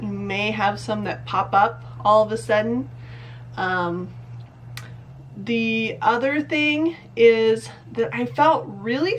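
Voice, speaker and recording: A woman's voice: a little high, a bit bright, slight background noise. Congested woman chatting casually to camera. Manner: chatty, rambling, self-questioning. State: congested from a cold. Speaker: vlogger. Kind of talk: casual diet vlog to camera.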